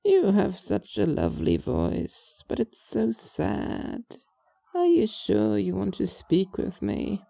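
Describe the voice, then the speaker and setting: A woman voicing a frail, gentle dying old woman in an audio drama, speaking haltingly with weary resignation. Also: mid-range voice, huge pitch swings, vocal fry, no room sound, heavily acted. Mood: gentle, absent.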